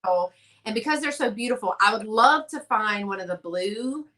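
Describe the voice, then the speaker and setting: A woman, a guest on a casual live chat, talking with rambling enthusiasm in quick bursts over a video call. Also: mid-range voice, expressive, bright, forceful tone.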